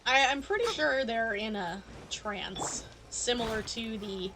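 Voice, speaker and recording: High-voiced woman streaming a game. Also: measured pace, noisy background. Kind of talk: casual live game commentary. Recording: game music behind the voice. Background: game music.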